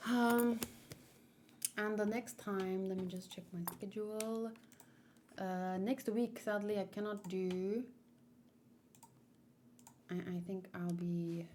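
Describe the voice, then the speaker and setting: A woman hosting a casual livestream, talking in a rambling, halting, easily distracted way. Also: mid-range voice, fairly even intonation, a little mellow, a little hiss or hum. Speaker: livestream host doing manga read-alongs.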